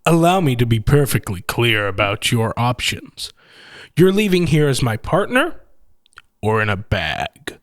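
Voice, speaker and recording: A man voicing a smug, menacing villain who speaks slowly and deliberately in an audio story. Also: medium pitch, very animated, no echo, clean studio sound. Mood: cold threat. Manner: slow, deliberate, softly threatening. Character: menacing smug villain who collects machines of his victims.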